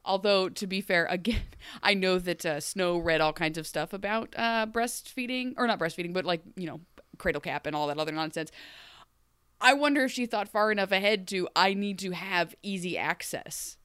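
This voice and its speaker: A woman from Ohio co-hosting a podcast, chatting casually and animatedly, her voice still a little deep after a cold. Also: normal speed, clean tone, no echo, clean studio sound. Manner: animated, acts out quoted voices, laughs often. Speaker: podcast co-host. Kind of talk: casual chat.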